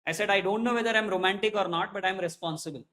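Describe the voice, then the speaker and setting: A man's voice: high-pitched, fast, fairly bright tone. A man from Hyderabad with an Indian English accent, a Hindi native speaker in his late thirties, giving a chatty classroom self-introduction as an accountancy teacher and checking in with "okay?" again and again.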